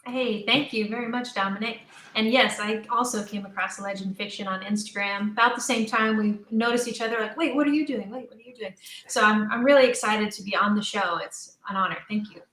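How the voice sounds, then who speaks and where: A woman talking as an interview guest about her creative work, enthusiastic, animated and emphatic. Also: medium pitch, brisk. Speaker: guest, audio drama creator. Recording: roomy, echoing sound.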